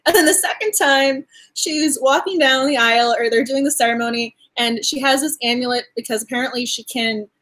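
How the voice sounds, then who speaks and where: A woman from Massachusetts hosting a casual book club discussion, talking in an animated way and laughing often as she acts out her reactions. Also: sits high, fairly quick, hardly any pauses.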